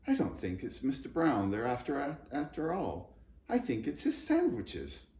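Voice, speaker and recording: A man reading a children's storybook, voicing a kindly, knowledgeable gentleman who explains and reassures. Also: mid-range voice, huge pitch swings, unhurried, pitched above the performer's own voice. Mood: excited. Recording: roomy echo, background noise.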